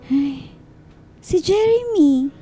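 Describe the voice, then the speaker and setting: An actress playing a chatty young student who is unsure in love, in a radio drama, with a Filipino accent. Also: female voice, high voice, lively intonation, very slow, mellow, faint music behind, full character voice. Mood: unsure, confiding. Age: young adult. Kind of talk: radio drama acting with English code-switching.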